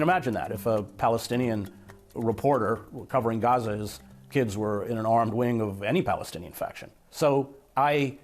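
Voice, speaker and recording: A man gives an interview as a former foreign correspondent. He talks with emphatic, impassioned conviction. Faint music sits under his voice. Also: lowish voice.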